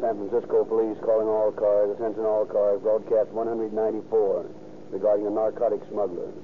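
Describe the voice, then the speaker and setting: A man's voice: lowish voice, level tone, fairly quick, narrow, tinny audio, a little hiss or hum, close to an everyday voice. A man playing a terse, official police dispatcher reading a bulletin in a 1930s radio drama, on an old radio recording. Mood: official, flat.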